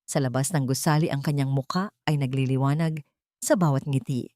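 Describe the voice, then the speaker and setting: A woman narrating a scripted dramatic audio story in a measured, solemn manner. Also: rumbling low, dark tone, dry recording, clean studio sound.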